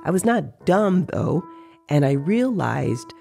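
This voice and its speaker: A man reading the part of an aging sorcerer who recounts his dream journeys in a measured, reflective way in an audio story. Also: fairly high pitch, big pitch swings, gravelly, darkish tone, faint music behind, voice pushed up, heavily acted. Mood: reflective, mysterious.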